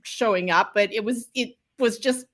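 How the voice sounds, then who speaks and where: Female author interviewee, fairly high-pitched; casual storyteller, candid, self-deprecating. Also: normal speed, a bit bright. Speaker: novelist being interviewed. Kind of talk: casual chat, storytelling about writing.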